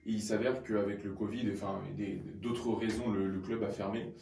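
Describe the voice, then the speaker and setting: Low-voiced male athlete in radio interview. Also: fairly even intonation, moderate pace, dark tone, a little hiss or hum. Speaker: arm-wrestling athlete, radio guest. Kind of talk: conversational interview answers. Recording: roomy, slightly distant mic.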